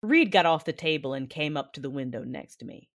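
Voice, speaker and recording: A woman playing a brooding, commanding Sith who narrates her memories in a measured way for an audio drama. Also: sits low, expressive. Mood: measured, solemn. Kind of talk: first-person audio drama narration and dialogue.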